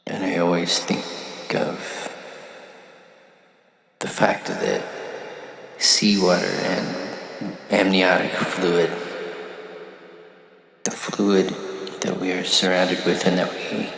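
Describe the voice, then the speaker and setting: A man delivering a reflective spiritual monologue, musing in halting phrases with long pauses between them. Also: deep voice, monotone, creaky, a bit bright, echoey room. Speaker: monologue speaker.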